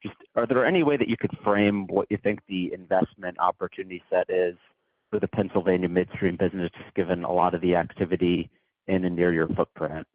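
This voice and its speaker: A man's voice: sits low, fairly even intonation, a little fast, no room sound. A man calling in as a financial analyst, putting polite, informal questions to executives on a conference call. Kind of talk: spoken investor questions. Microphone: conference phone call. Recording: dry, narrow phone line.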